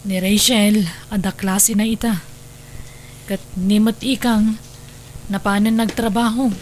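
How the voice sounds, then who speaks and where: A woman playing a caring, steady wife and forgiving stepmother in an audio drama. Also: medium pitch, a little slow, slight background noise, natural voice. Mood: gentle, caring. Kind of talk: Ilocano audio drama acting.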